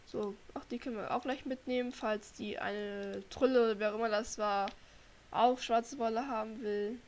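A woman's voice: a little high, steady tone, moderate pace, a little hiss or hum. A young woman with a cold hosting her gaming channel, chatting casually and rambling to viewers as she plays. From Germany. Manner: chatty, rambling, talks to viewers while playing. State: has a cold. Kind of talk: casual gameplay commentary. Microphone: desk microphone.

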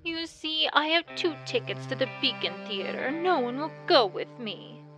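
A woman's voice: sits high, lively intonation, moderate pace, fairly bright tone, faint music behind, rough recording. A woman voicing a confident female bat thief, teasing and commanding in a fan audio drama. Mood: sly.

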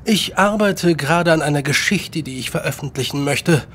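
Guy playing talkative victorian writer gent, highish voice, very formal and eager, audio drama. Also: normal speed, a bit bright, a little hiss or hum, natural voice. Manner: wordy, genteel, formal. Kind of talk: German radio drama acting.

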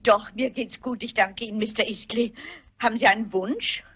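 An older actress playing a shrewd, polite, dignified elderly woman sleuth posing as a housekeeper in a German radio play, with a husky voice. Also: fairly high pitch, fairly even intonation, moderate pace, fairly bright tone, close to an everyday voice. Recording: dull, muffled radio play recording.

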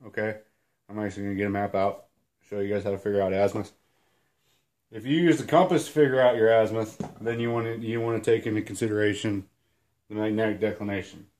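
Husky-voiced guy in his thirties with a cold, low voice, casual self-deprecating teacher talking to a camera at home. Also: fairly even intonation, fast, darkish tone. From Illinois. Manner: rambling and self-deprecating, many fillers. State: hoarse from a cold, sniffling. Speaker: outdoors channel host. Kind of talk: casual whiteboard lesson. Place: small room at home, indoors.

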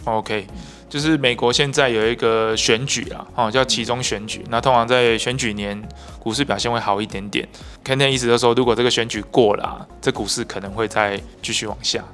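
A man's voice: medium pitch, steady tone, brisk, fairly bright tone, faint music behind. A man in his thirties with a Taiwanese Mandarin accent, hosting an interview and explaining the guest's points to viewers. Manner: fluent, explanatory, with sentence-final particles. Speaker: host. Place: bright office room. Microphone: handheld mic.

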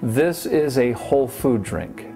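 A man's voice: mid-range voice, lively intonation, takes it slowly, dark tone, soft background music. A man in his forties, a company executive, speaking confidently in a documentary interview. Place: indoors in a wood-paneled room.

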